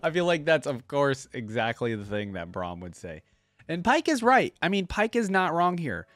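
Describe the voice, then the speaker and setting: A man's voice: on the high side, very animated, normal speed, fairly bright tone. A man hosting a casual reaction video, chatty and enthusiastic with frequent appreciative interjections.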